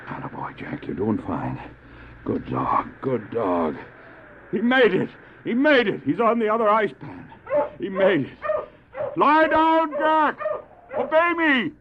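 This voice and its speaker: A man's voice: high voice, wildly swooping pitch, slow pace, noisy background, full character voice. A man acting an anxious, determined dog-sled driver in a radio drama, telling his story earnestly and urgently. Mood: relieved, then coaxing, commanding. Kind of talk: first-person dramatic narration, radio drama. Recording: tinny narrow-band old cassette, music behind.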